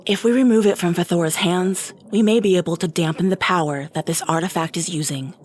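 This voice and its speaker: An actress playing a level-headed, devout celestial warrior in a fantasy audio drama, measured and earnest in her reasoning. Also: fairly low pitch, darkish tone, slight background noise, natural voice.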